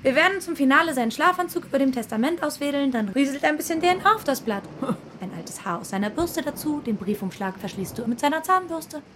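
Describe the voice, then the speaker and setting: An actress playing a brisk, cool-headed scheming woman in a German radio crime drama, matter-of-fact and sardonic. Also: high-pitched, expressive, fairly bright tone, a little hiss or hum.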